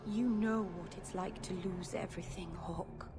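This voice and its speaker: A woman's voice: on the low side, fairly even intonation, moderate pace, creaky. A woman playing an earnest, stubborn young elf mage in a video game cutscene, speaking with open emotion. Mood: earnest, defensive. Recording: noisy game ambience behind.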